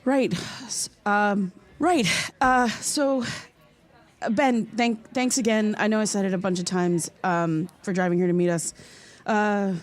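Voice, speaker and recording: A woman's voice: mid-range voice, steady tone, natural voice. A woman acting as an earnest, hesitant podcaster seeking answers in a naturalistic audio drama. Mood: awkward, friendly. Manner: halting, apologetic, polite. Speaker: lead actor playing a podcaster.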